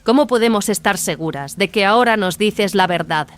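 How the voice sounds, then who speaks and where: A woman playing a stern, commanding warrior sister in an audio drama, speaking with firm, measured authority. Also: medium pitch, lightly acted. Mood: cold, suspicious. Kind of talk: dramatized audio fiction acting. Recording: music behind the voice.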